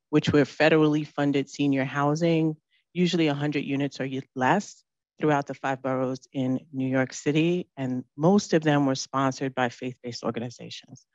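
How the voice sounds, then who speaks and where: A woman's voice: extremely low pitch, a little mellow, dry recording, lo-fi sound. A woman speaking on a panel as a company chief, composed and fluent. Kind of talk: panel talk. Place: conference room.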